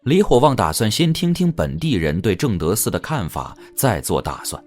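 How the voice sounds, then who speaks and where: Deep, expressive male narrator. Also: normal speed, faint music behind. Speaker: audiobook narrator. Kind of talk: dramatic scripted narration.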